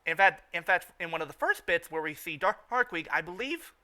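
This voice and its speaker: A man hosting an informal fan review, speaking haltingly and often repeating and restarting his phrases. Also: a little high, lively intonation, crowd noise. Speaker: review show host. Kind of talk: informal fan commentary and recap.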